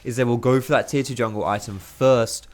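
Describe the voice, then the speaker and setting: A man, his voice going hoarse, giving lively, excitable play-by-play commentary over video game footage with casual slang. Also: mid-range voice, fairly quick. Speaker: video host. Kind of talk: gameplay commentary.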